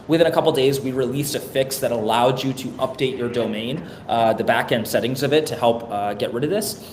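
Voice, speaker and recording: A man hosting a live product town hall in a loose, chatty way, getting over a cold. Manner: loose and chatty, many fillers and restarts. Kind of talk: casual webinar presentation. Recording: echoey room with faint rumble.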